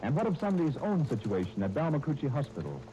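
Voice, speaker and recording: A man's voice: mid-range voice, big pitch swings, fast talker, dark tone, slight background noise, rough recording. A man announcing in 1930s radio style, reading scripted narration and sponsor copy with dramatic emphasis.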